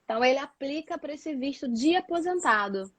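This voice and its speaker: A woman's voice: high-pitched, lively intonation, brisk, no echo. A Brazilian woman chatting casually and explaining things as a guest, repeating words for emphasis. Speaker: guest, doctoral student living in Portugal.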